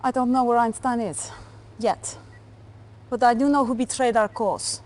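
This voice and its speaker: An actress playing a stern Soviet intelligence officer in a video game, controlled and clipped. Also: female voice, on the high side, fairly even intonation, moderate pace, a little mellow, lightly acted. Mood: commanding, calculating. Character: cold, commanding Soviet female intelligence officer. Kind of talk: video game cutscene acting.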